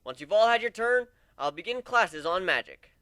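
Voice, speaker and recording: A man voicing a brisk, stern griffin warrior and combat instructor in a dramatic story reading, commanding and sardonic. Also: high voice, very animated, bright, forceful tone, no room sound, pitched above the performer's own voice, heavily acted.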